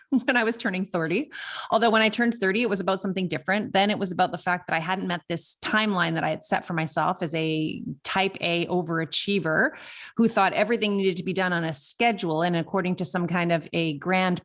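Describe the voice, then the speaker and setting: A woman's voice: lowish voice, a bit bright. A woman of fifty talking candidly and conversationally in a reflective solo podcast, with emphasis on key words. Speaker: podcast host.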